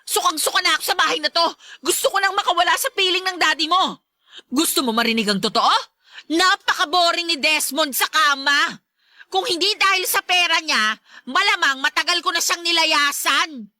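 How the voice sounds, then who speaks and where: A woman's voice: extremely high pitch, normal speed, sharp tone, no echo, clean studio sound, full character voice. A woman playing a scheming, defiant gold-digging stepmother in a melodramatic radio drama, with a taunting, mocking manner. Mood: defiant, scornful.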